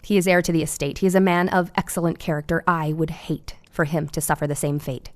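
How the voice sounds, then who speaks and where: An actress playing an earnest, eccentric Victorian woman doctor in an audio drama, telling things in a formal, deliberate way. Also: deep voice, flat delivery, no room sound, close to an everyday voice. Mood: earnest, worried.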